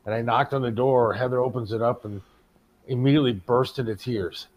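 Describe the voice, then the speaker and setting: A man's voice: mid-range voice, fairly quick, a little mellow, low-quality recording. A middle-aged man hosting a talk show, chatting and bantering informally with a salty tongue. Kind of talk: casual chat and banter.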